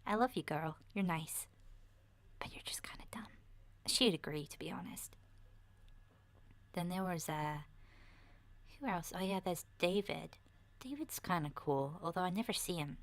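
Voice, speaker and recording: A woman voicing a chatty, candid young girl surviving a zombie apocalypse in a soft, breathy, half-whispered ASMR roleplay, talking in a hesitant, rambling way. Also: lowish voice, animated, unhurried, long pauses. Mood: apologetic. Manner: hesitant, rambling, youthful casual phrasing.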